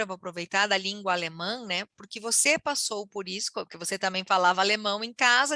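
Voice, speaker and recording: A woman's voice: fairly high pitch, bright, forceful tone, dry recording. A woman, hosting the conversation and explaining ideas in a teacherly way, often checking in with "né?". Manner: teacherly, frequent "né?" tags.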